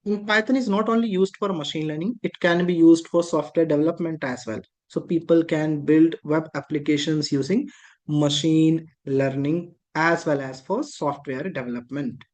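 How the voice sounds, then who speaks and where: Instructor, patient and repetitive, mid pitch. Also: fairly even intonation, normal speed, darkish tone. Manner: patient, repetitive explaining with frequent rhetorical questions. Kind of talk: explaining a lesson.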